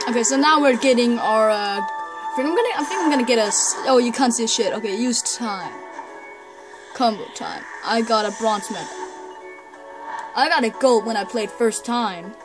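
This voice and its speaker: A woman's voice: a little high, moderate pace, poor audio. A woman giving hesitant, chatty commentary during a blind video game playthrough, reading menus aloud. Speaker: gamer doing a blind playthrough. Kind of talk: casual let's play commentary. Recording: commentary over loud game music.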